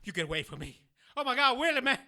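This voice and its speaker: Actor as streetwise drifter, animated pitch, forceful edge, slangy, exclamatory, audio drama. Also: male voice, fast talker, gravelly, no echo, studio quality, heavily acted. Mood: panicked. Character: streetwise, excitable, softer-hearted male vagrant.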